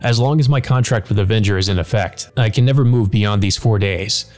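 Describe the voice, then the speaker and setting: Low, brooding mage read by a man. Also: brisk, a little mellow, soft background music, lightly acted. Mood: grim resolve. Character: proud, frustrated combat mage, brooding and serious. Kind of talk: visual novel first-person monologue read aloud.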